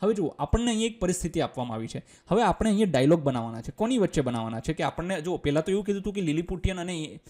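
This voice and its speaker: A male teacher with a Gujarati accent speaks in a slightly high, softly mellow voice. He reads out English lines and then explains them simply. His delivery is colloquial and step by step, with questions he answers himself. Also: sing-song, rapid-fire, few pauses.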